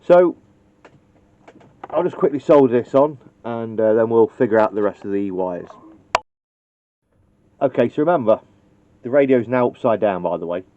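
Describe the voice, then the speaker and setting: A man's voice: mid-range voice, lively intonation, normal speed, long pauses, dry recording. A man casually demonstrating how to wire a radio, thinking aloud and joking at his own expense. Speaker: CB radio technician. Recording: dull lo-fi recording.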